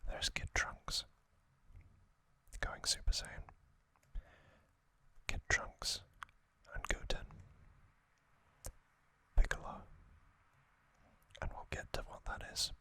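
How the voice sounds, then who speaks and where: A man hosting an ASMR channel, softly whispering trivia in a relaxed chat with frequent "uh" and "um" fillers, close to a sensitive microphone. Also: slow, pauses often, no echo.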